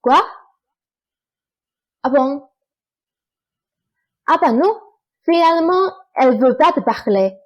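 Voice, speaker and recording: A woman's voice: high voice, expressive, takes it slowly, pauses often, dull audio. A woman playing a lazy, teasing young stepsister, falsely sweet and bullying, in a student radio play. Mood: mocking. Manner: teasing, offhand.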